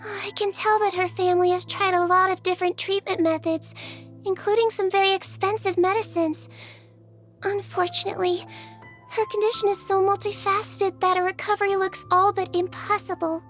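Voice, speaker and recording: A woman playing a tiny, childlike female creature who works as a head nurse in a video game, gentle, polite and measured. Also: very high-pitched, level tone, faint music behind. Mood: grave. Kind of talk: video game character acting.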